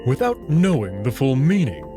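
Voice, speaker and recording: A man playing a proud, confident wolf-man noble in a visual novel, formal and deliberate in his wording. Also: mid-range voice, big pitch swings, music in the background, natural voice. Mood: teasing, amused. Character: proud, confident male wolf-man noble, playful but hot-tempered.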